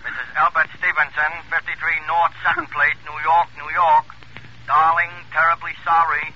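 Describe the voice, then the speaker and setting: Piercing, impersonal telegraph clerk. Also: male voice, a little high, little pitch movement, moderate pace, bright, forceful tone. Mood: businesslike. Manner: formal, mechanical reading. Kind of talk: 1940s radio drama acting. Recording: muffled old radio recording, telephone sound.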